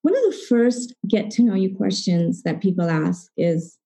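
A woman's voice: mid-range voice, sing-song, moderate pace, mellow. A middle-aged woman from Zambia telling a personal story at a live event, reflective and posing rhetorical questions, acting out bits of dialogue.

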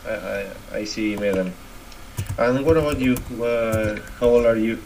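A man in his twenties from Argentina with an Argentine Spanish accent, chatting casually and haltingly in English as a second language, often repeating words. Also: fairly low pitch, vocal fry, darkish tone. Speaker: English learner in conversation practice. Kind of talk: casual chat. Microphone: online voice call. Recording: noisy online call.

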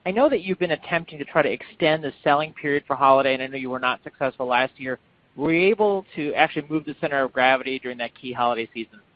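A man's voice: mid-range voice, fast. A man speaking as a financial analyst, putting questions to executives on an earnings call. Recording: muffled conference call line.

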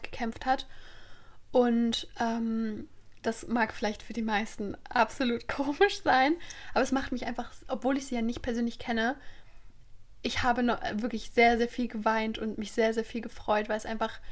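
A woman co-hosting a podcast, chatting casually and warmly, gushing, laughing often and filling with "ähm". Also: on the high side. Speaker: podcast co-host. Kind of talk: casual chat.

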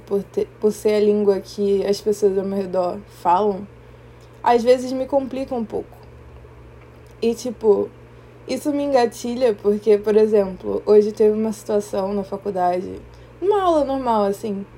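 A young woman with a Brazilian Portuguese accent, hosting a podcast in a reflective personal monologue, thoughtful and often pausing to search for the right word. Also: mid-range voice, warm tone, slight background noise. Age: young adult. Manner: thoughtful, searching for words, pauses and restarts. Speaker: podcast host.

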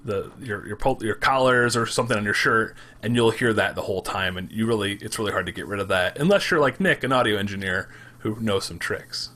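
A man with a Michigan accent who talks fast and runs his words together, chatting about making podcasts. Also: fairly low pitch, expressive, sharp tone. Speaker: podcast creator. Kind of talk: casual panel chat.

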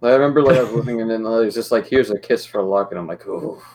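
Casual man with a lowish voice. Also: quick pace, a little mellow. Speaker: podcast co-host. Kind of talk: casual chat.

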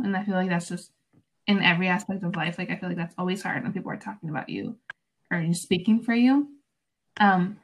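A woman's voice: mid-range voice, poor audio. A young woman, a student whose first language is Spanish, talking reflectively on a panel with many fillers and restarts. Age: young adult. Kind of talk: casual panel discussion.

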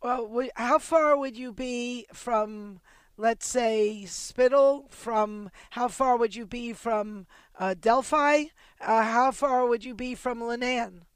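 A woman's voice: on the high side, slow pace, clear, resonant tone, no echo. A woman interviewing a guest over a remote call, asking eager, curious questions.

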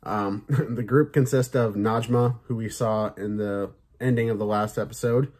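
A man with a somewhat low voice chats casually through his review, full of fillers and the odd curse. His throat sounds a bit sore, which gives him a light rasp. He talks in a loose, easygoing way. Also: steady tone, unhurried, a little mellow. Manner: loose and chatty, swears, many fillers. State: slightly raspy, sore voice. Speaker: podcast host reviewing films and shows.

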